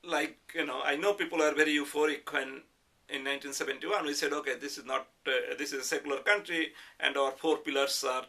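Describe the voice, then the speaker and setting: Bright-voiced Bengali-accented man, gravelly edge. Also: fairly high pitch, steady tone. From Bangladesh. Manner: hesitant, measured, qualifies statements. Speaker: interviewee, professor. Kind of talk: reflective oral history interview.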